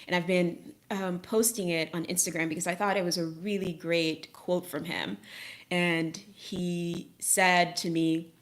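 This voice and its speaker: Low-voiced woman hosting a reflective live chat. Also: fairly bright tone. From Haiti. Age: 30s. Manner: rambling, reflective, many fillers and restarts. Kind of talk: casual livestream chat.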